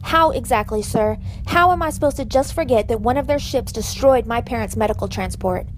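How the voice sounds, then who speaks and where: Ship's doctor, woman acting, fairly high voice, blunt and embittered, sci-fi audio drama, faint ambience. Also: brisk, slight background noise, close to an everyday voice. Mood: bitter, upset. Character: outspoken, embittered female starship doctor.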